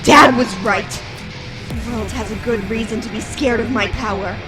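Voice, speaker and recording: A woman's voice: a little high, moderate pace, loud music behind the voice, close to an everyday voice. A woman playing a young female fighter with dangerous power in an anime fan drama, intense and dramatic. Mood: cold, menacing. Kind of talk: anime fan-fiction voice acting.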